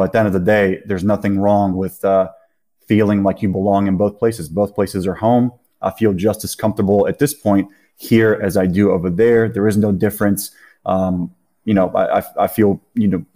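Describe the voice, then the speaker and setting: A man's voice: on the low side, warm tone. A man from Bulgaria who grew up in the USA, talking casually and reflectively as an interview guest. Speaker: podcast guest, entrepreneur.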